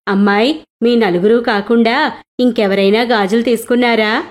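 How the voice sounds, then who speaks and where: A woman's voice: a little high, fairly even intonation, moderate pace, lightly acted. A woman voicing a devout, fatherly older merchant in an earnest way for a moral storybook tale. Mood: suspicious, firm. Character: devout wealthy merchant, fatherly older man with grown daughters-in-law.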